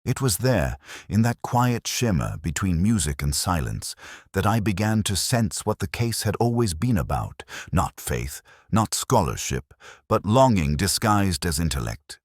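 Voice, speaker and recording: A man reading a scripted first-person detective story in a measured, literary, brooding way. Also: extremely low pitch, animated, slightly rough, no echo, studio quality. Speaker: narrator.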